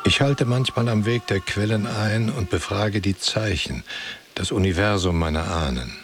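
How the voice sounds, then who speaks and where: An actor playing an ancient wandering guardian of a book, giving grave, cryptic answers in a poetic radio drama. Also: male voice, lowish voice, over music, natural voice. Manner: slow, cryptic, solemn answers.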